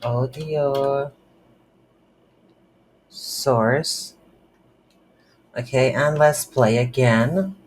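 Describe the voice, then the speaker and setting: Slow, halting coding tutorial instructor. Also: mid-range voice, fairly even intonation. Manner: halting, thinking aloud. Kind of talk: unscripted screen-recorded coding tutorial. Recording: light background noise.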